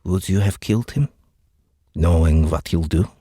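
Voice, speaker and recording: A man voicing a grave, weary alien soldier who speaks softly and solemnly in an audiobook. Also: deep voice, moderate pace, slightly rough, no echo, studio quality. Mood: grave, resigned. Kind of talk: audiobook character voice.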